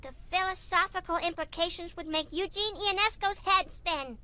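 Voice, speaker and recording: A woman voicing an earnest, brainy eight-year-old girl in an animated comedy game, precocious and articulate. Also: very high-pitched, normal speed. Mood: indignant.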